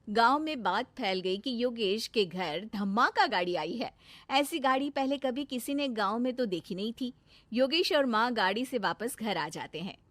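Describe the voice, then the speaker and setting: A woman narrating a scripted animated village story. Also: medium pitch.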